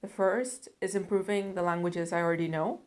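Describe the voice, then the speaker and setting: Young woman, from the netherlands, lowish voice, earnest, measured vlog chat. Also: darkish tone. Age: young adult. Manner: earnest, reflective, measured with some fillers. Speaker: language learning vlogger. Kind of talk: casual talk to camera.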